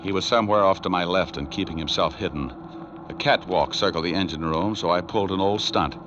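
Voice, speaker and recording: An actor playing a tough, wisecracking private detective in a 1940s radio drama, with an American accent and a wry, clipped delivery. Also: male voice, low-pitched, a little fast, background noise, lightly acted. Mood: hard-boiled, wry. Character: tough wisecracking male private detective narrating his case. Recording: muffled old 1940s radio recording, music behind.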